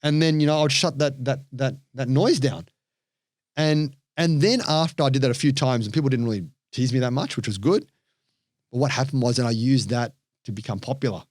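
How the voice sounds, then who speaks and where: A man with an Australian accent, a podcast guest and coach, telling stories in a lively way and acting out the voices of people he quotes. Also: mid-range voice, fairly quick, dry recording, clean studio sound. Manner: animated storyteller, acts out quoted voices. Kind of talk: storytelling interview chat.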